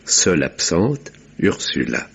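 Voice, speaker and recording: A deep, gravelly mystery narrator. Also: male voice, very animated, a bit bright, exaggerated voice. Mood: grave, suspenseful. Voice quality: gravelly, husky. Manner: measured, grave, formal. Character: sombre male village doctor narrating a murder mystery. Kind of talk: literary narration in 1950s-style radio drama. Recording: dull lo-fi vintage radio sound.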